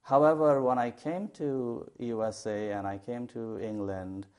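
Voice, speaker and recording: A man from Bangladesh, an English professor and poet, answering questions in a TV studio interview in a measured, thoughtful way with many fillers. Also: medium pitch, steady tone, a little mellow. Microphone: lapel.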